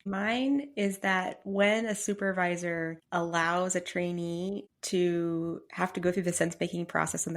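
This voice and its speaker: A woman co-hosting a podcast, giving considered commentary in long, formal sentences. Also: lowish voice. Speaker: podcast co-host.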